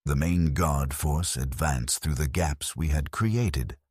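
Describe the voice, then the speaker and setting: A man narrating a dramatic scripted first-person war story as a grim, war-weary soldier, in a grave, measured, deliberate delivery. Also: rumbling low, darkish tone, breathy edge, no room sound, clean studio sound. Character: grim war-weary penal legion soldier recounting battles. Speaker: narrator of a fan lore channel.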